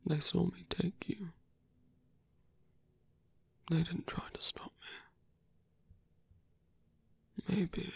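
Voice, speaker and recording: A man voicing a seductive, possessive villain in an intimate ASMR roleplay, coaxing slowly in a breathy whisper. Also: fairly high pitch, warm tone, no room sound, full character voice. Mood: low coaxing.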